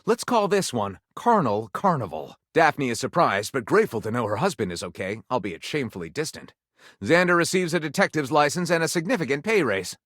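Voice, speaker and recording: An actor playing a theatrical, wry male storyteller in a game, narrating tales dramatically and savouring each title with pauses. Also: a little high, fairly bright tone, dry recording, clean studio sound.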